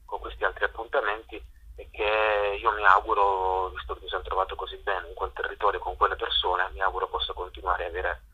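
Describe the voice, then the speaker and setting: A man answering interview questions spontaneously, hesitant and often pausing to search for words. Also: sits low, level tone, slightly rough, narrow, tinny audio. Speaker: interview guest, playwright and theatre maker.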